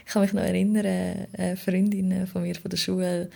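A woman's voice: lowish voice, level tone, normal speed, gravelly, warm tone. A woman with a Swiss German accent giving an informal, reflective personal account as an interview guest, searching for her words. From Switzerland. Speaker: podcast guest, political candidate.

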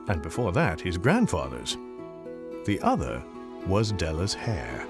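An actor playing a wry, theatrical narrator of a radio drama, telling the story in an ornate, deliberate way. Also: male voice, medium pitch. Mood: grand, admiring. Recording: music clearly behind.

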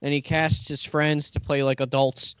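A man co-hosting a casual podcast, joking around with a shrill voice. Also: little pitch movement, moderate pace, fairly bright tone, smooth, no echo. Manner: joking, does mock deep voices. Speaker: podcast co-host.

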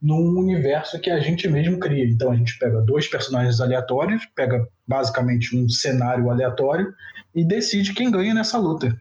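A man with a Brazilian Portuguese accent, joking and relaxed as a podcast co-host. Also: mid-range voice, moderate pace. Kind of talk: casual podcast chat. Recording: echoey, dull lo-fi mic.

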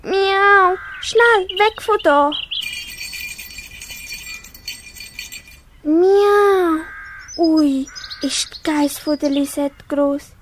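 A woman's voice: very high voice, a bit bright, heavily acted. A young girl with a Swiss German accent playing a small, lonely, curious kitten in an amateur school radio play, meowing and earnest. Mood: alarmed. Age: child or young teen. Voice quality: childlike, meowing. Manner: slow, earnest story-acting with meows. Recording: faint background noise.

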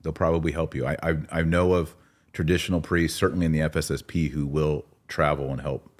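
A man's voice: a bass voice, flat delivery, a little fast. An American man hosting a podcast in casual, informal chat, reading out and answering listener comments. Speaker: podcast host.

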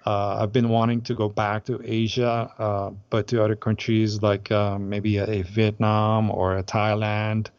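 A man's voice: lowish voice, level tone, measured pace, few pauses, darkish tone, smooth, no echo, muffled recording. A man from Aruba who organizes group trips, chatting in an interview with many hesitant fillers and restarts. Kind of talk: casual interview chat.

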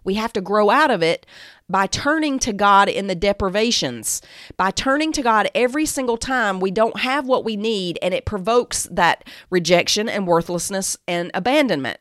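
A woman's voice: medium pitch, lively intonation, moderate pace, a bit bright, dry recording, studio quality. A woman giving a devotional teaching talk, speaking emphatically and exhorting, repeating phrases for weight. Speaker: Bible teacher and podcast host.

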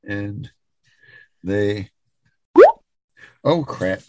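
A man co-hosting a podcast, casually recounting odd news in a halting way as he searches for words. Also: fairly low pitch, darkish tone, no room sound, poor audio. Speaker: podcast co-host.

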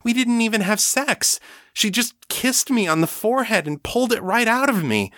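Frantic young supernatural woman, a man puts her on, high and urgent, dry close sound; audiobook role. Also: very animated, measured pace, a bit bright, dry recording, heavily acted. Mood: pleading. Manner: rising, urgent pleading.

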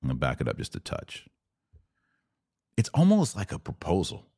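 A man's voice: sits low, huge pitch swings, moderate pace, gravelly, darkish tone, no room sound, clean studio sound. An American man giving opinionated reaction commentary as a podcast host, emphatic and sarcastic, sometimes mimicking the people he disagrees with.

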